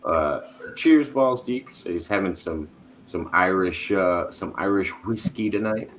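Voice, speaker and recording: A man's voice: low voice, measured pace, a bit bright, soft background music, rough recording. A man from the Chicago area hosting a casual live stream, laid-back, greeting viewers and reading comments aloud.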